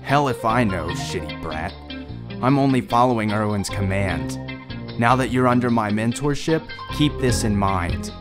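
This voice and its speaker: A man's voice: fairly low pitch, animated, measured pace, music in the background. A man playing a stern, sardonic squad leader and harsh mentor in a fan audio drama, speaking curtly and dryly. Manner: curt, dry, sardonic.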